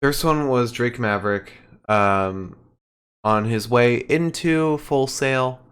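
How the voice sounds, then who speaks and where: A man's voice: mid-range voice, takes it slowly, a bit bright, smooth. A man co-hosting a wrestling podcast, recapping in a rambling, hesitant way with lots of fillers. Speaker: podcast co-host. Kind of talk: casual wrestling recap chat.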